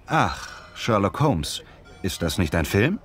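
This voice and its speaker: A man plays a courteous survey interviewer in a language-learning dialogue. His voice is low, and he asks clearly and politely. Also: sing-song, slow, faint music behind, exaggerated voice. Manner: courteous, clearly enunciated questioning. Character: polite male street pollster on internet use. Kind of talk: scripted language-lesson dialogue.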